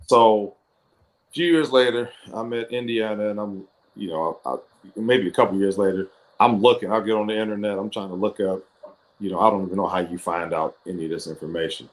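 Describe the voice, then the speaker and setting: Middle-aged Ohio-raised man, lowish voice, reflective storyteller, heard over a video call. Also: fairly quick. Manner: reflective, with frequent fillers and restarts. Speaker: guest football coach. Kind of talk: personal storytelling in an interview. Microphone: computer or headset microphone on a video call.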